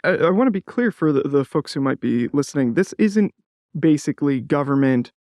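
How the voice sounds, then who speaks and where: A man's voice: fairly high pitch, lively intonation, a little fast, darkish tone, dry recording, clean studio sound. A man hosting a conversational interview, casually explaining and putting questions to his guest. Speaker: interviewer and show host.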